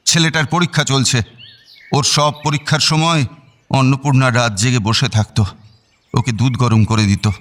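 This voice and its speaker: Radio drama role: doting older dad, played by a man, mid-pitched, gentle, hesitant, fussing affectionately. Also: natural voice. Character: doting, fussy older father.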